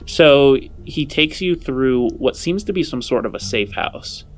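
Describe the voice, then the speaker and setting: A man's voice: medium pitch, lively intonation, measured pace, a little hiss or hum. A man narrating a tabletop roleplaying game as game master, improvising descriptions and often saying "like". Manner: frequent "like" while describing scenes.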